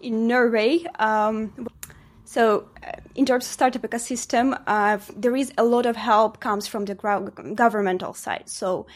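A woman with a Ukrainian accent giving conversational interview answers as a startup guest, often pausing mid-phrase. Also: a little high, steady tone.

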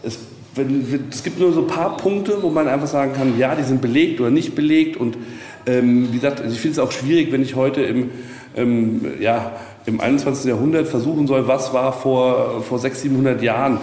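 A man's voice: mid-range voice, a little fast, mellow. A man guiding visitors informally, explaining stories in a loose way with many asides, recorded in a very reverberant space. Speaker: castle tour guide. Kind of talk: informal guided tour storytelling. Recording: very echoey, reverberant, noticeable background noise.

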